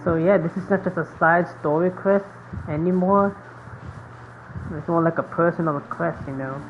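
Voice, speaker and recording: A woman's voice: on the low side, moderate pace. A woman giving casual live gameplay commentary as a streamer, musing in a rambling way with lots of fillers. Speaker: game streamer. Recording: dull mic, faint background noise.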